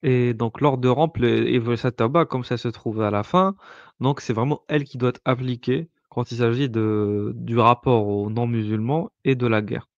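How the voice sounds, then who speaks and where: A man talks through a subject in a relaxed discussion, pausing often and restarting his sentences as he explains. His voice comes through a muffled, lo-fi recording with no room echo. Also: mid-range voice. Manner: hesitant, many fillers and restarts, explaining patiently. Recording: dull lo-fi sound, dry.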